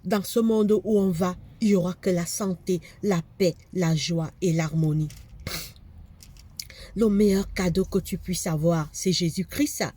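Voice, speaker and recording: Woman preacher with a cold, warm mellow tone, fervent and repetitive delivery. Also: lowish voice, unhurried. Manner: fervent preaching, repeats phrases for emphasis. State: has a cold, congested. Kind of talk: sermon.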